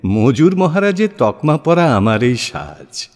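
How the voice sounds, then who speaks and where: A man voicing a proud, idealistic engineer in an audio story, declamatory, emphatic and deliberate. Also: mid-range voice, sing-song, darkish tone. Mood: wry pride. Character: proud, idealistic self-made Bengali male engineer. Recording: long hall-like reverb.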